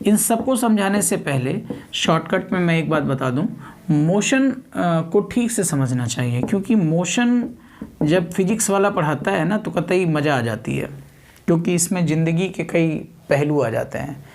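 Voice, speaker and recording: A man's voice: fairly high pitch, animated, normal speed. A man lecturing as a physics teacher, repeating key terms, asking rhetorical questions and mixing in English technical words. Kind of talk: exam coaching lecture with everyday examples.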